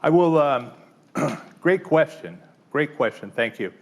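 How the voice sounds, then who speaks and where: A man's voice: fairly high pitch, lively intonation, takes it slowly, vocal fry. Man presenting a casual tech workshop. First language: English. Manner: conversational, frequent fillers and "right?" check-ins. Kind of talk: live tech workshop demo.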